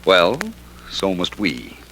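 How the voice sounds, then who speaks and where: A man playing a suave, unruffled gentleman detective in a 1940s radio drama, speaking in an urbane, dry, unhurried way. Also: deep voice, very animated, exaggerated voice. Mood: calm, measured. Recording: slightly noisy vintage radio recording.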